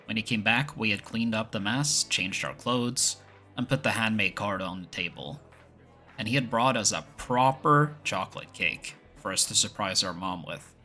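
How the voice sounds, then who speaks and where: An easygoing young clan deputy played by a male voice actor talks with playful good humor. His voice is fairly low but bright and forward, set in an audio roleplay with faint music behind it. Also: moderate pace. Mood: amused, reminiscing.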